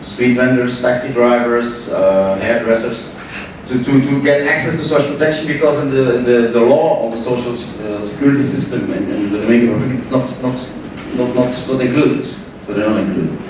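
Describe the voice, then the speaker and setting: A man's voice: medium pitch, fairly quick. A man from Belgium giving a conference talk, hesitant, with many repeated words and restarts. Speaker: panel speaker. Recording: echoey hall, distant mic, background noise.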